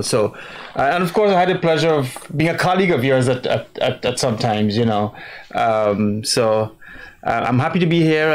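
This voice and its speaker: A man's voice: medium pitch, clean tone. A middle-aged man who grew up in New York City, answering interview questions in a rambling way with frequent fillers and restarts. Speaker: guest. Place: TV studio with virtual set.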